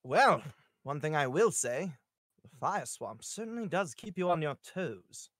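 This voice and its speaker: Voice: mid-range voice, very animated, a little slow, no echo, studio quality, voice pushed down. A performer at an amateur table read voicing a jaunty, nonchalant young adventurer hero in a breezy, theatrical way. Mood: cheerful nonchalance.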